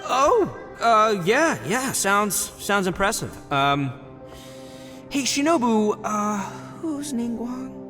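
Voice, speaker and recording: Brash, high-pitched oni gang boss. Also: male voice, very animated, takes it slowly, sharp tone, heavily acted. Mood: clueless, defiant. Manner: blustering, slangy, hot-headed. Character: brash, dim-witted young male oni gang boss. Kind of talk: English video game voice acting. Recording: reverberant hall with faint music.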